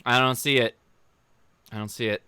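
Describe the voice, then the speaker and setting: American man, casual livestream chat, bright forceful tone, close dry sound, joking informal manner. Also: mid-range voice, steady tone, moderate pace, smooth, dry recording. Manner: informal, joking, addresses viewers directly. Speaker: livestream host. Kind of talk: casual livestream chat, answering viewer questions.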